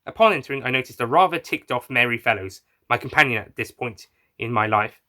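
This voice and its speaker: A man narrates a first-person tale in a bright, forceful voice. He sits at an average pitch and reads from a script as a storyteller. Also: expressive, quick pace. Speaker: writer and narrator reading own fan fiction.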